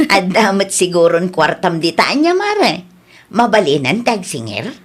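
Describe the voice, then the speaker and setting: A woman's voice: fairly low pitch, very animated, a little slow. A woman with an Ilocano accent playing a pushy, teasing friend who lends money, in a comedy radio drama. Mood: insistent. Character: pushy woman creditor friend demanding her money back.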